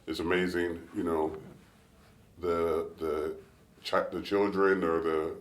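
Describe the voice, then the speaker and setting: A middle-aged man talking casually with his son in an interview, relaxed and often searching for words. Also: low voice, takes it slowly, distant mic. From United States. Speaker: interviewee, father. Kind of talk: casual family conversation. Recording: roomy, off-mic.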